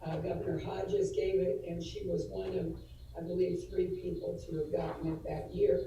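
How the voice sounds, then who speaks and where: Woman, slightly hoarse, very dark mellow tone, halting with fillers, formal tribute on a video call, echoey room. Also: lowish voice, little pitch movement, highs cut off, a little hiss or hum. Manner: earnest, halting with frequent fillers and restarts. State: hoarse from allergies. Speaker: adult education administrator presenting to school board. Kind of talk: formal tribute presentation at a virtual board meeting. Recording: echoey room, distant from the mic, faint ambience.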